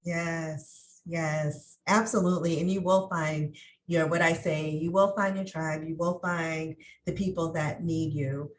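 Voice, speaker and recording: A woman's voice: low voice, steady tone, a little slow, rough recording. A woman coach hosting an interview, affirming her guest often and asking questions. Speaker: host, life coach. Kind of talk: interview conversation.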